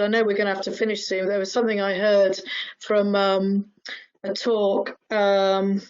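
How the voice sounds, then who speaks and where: A woman's voice: mid-range voice, dull audio. A woman, talking chattily as a panel speaker, full of fillers and asking "right?". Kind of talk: webinar panel discussion.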